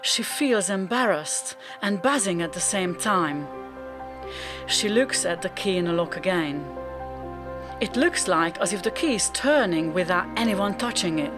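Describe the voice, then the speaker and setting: Slow, low-voiced woman narrating radio drama.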